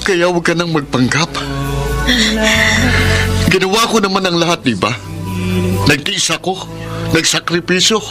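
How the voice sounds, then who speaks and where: A man's voice: fairly high pitch, unhurried, long pauses, close to an everyday voice. Man acting a heartbroken, bitter Filipino man, music behind. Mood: anguished. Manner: emphatic, accusing, melodramatic. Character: betrayed, self-sacrificing young man, bitter and heartbroken. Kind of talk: Filipino radio drama acting.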